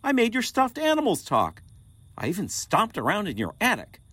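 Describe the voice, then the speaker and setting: A man's voice: high-pitched, huge pitch swings, moderate pace, sharp tone, breathy edge, dry recording, full character voice. A man playing a needy, swooping, indignant ghost. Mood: wounded, indignant. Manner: theatrical, whiny, easily affronted. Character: needy, indignant century-old male ghost named Daryl. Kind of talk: comedic audio play acting.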